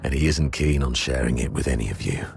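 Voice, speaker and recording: An actor playing an old veteran military commander in a video game, fatherly and wry, with measured, authoritative delivery. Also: a bass voice, creaky, background noise. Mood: solemn, wry. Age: older. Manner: measured, authoritative orders and speeches. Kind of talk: video game cutscene acting.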